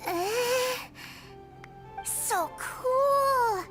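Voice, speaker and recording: Very high, eager little boy. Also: very slow, a bit bright, soft background music, heavily acted. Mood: excited, adoring. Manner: wide-eyed and eager. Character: excitable, innocent little boy, adoring younger brother. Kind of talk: anime video game voice acting.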